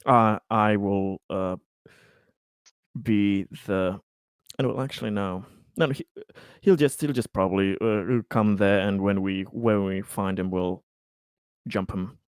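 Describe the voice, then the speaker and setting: A man playing a tabletop roleplaying game, narrating his character off the cuff in a halting, stumbling way. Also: on the low side, clear, resonant tone, dry recording, clean studio sound.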